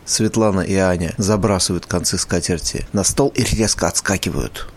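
A man serves as narrator of an audio drama. His voice is deep, and he reads scripted stage directions between the scenes. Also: steady tone, moderate pace.

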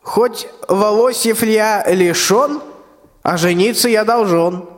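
Actor as high-voiced, bossy old tsar. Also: male voice, slow. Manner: commanding, folksy rhymed speech. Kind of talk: stage-like rhymed radio play. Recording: long hall reverb.

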